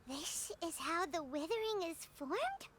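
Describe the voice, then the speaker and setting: A woman voicing a small, cheerful, naive fairy companion with a squeaky childlike voice in a video game. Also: big pitch swings, unhurried, fairly bright tone, slight background noise, full character voice. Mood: puzzled.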